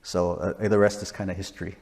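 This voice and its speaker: A man's voice: low-pitched, little pitch movement, fast talker, creaky, darkish tone. Chatty older man in a reverberant hall. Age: 60s. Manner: rambling, frequent restarts and chuckles. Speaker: interview guest, missionary. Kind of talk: casual conversational interview.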